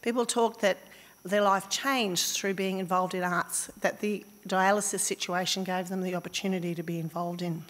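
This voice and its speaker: An Australian woman with a slightly croaky voice giving a conference talk, thoughtful and halting with fillers and restarts. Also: lowish voice, fairly even intonation. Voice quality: slightly hoarse. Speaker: conference speaker. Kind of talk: academic presentation. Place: conference hall. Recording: reverberant conference hall.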